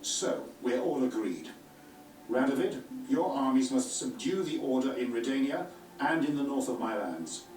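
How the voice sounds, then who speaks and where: A man's voice: medium pitch, expressive, moderate pace, a little mellow, lightly acted. An actor playing a brusque, crude male king giving orders in a game cutscene. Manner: brusque, coarse, domineering. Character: crude commanding middle-aged male king. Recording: echoey distant game audio with music.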